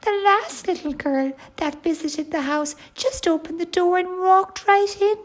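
A woman voicing a friendly, eager little boy bear cub with a squeaky, childlike voice in a children's storybook. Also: a little slow.

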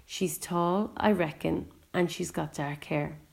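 A woman's voice: low voice, fairly even intonation, takes it slowly, creaky, full character voice. A woman voicing a frank, sardonic young boy who tells his own story in a chatty, colloquial audiobook narration. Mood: chatty, fond. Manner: chatty, colloquial inner monologue. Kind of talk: first-person audiobook narration.

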